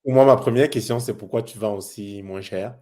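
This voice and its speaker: A man's voice: lowish voice, normal speed, dark tone. A man speaking casually as a business adviser, putting questions to someone.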